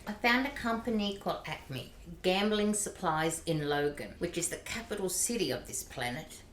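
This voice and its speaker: A woman's voice: fairly low pitch, animated, slight background noise, lightly acted. Woman voicing a courteous computer companion, roomy sound. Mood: helpful, informative. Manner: formal, measured, deliberate. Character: courteous, kindly female artificial intelligence computer companion. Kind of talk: radio play acting. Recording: roomy, echoey amateur recording.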